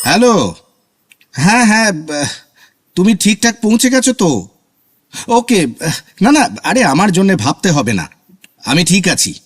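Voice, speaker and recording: A man's voice: high-pitched, sing-song, unhurried, pauses often, fairly bright tone, exaggerated voice. An actor playing an exasperated, politely impatient male writer who stammers and grumbles in a comic audio drama. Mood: harried, grumbling. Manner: flustered, stammering, grumbling asides.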